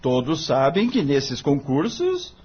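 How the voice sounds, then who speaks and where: A man with a Brazilian accent playing an earnest, disheartened young lawyer in an audio drama, speaking formally and plaintively with a husky voice. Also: fairly high pitch, wildly swooping pitch, slow pace, dull audio, a little hiss or hum, heavily acted. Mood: discouraged. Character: earnest, disheartened young male lawyer seeking his mentor's advice.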